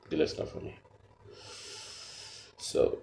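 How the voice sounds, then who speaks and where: A man giving a step-by-step tutorial, explaining haltingly and repeating himself as he points things out. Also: warm tone, reverberant. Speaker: trading tutor.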